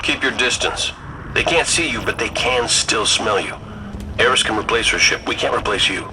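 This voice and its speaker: An actor playing a roguish android scout commander in a video game, breezy and sardonic as he hands out brisk orders. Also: on the low side, background noise, lightly acted. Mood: wry, protective. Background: loud music.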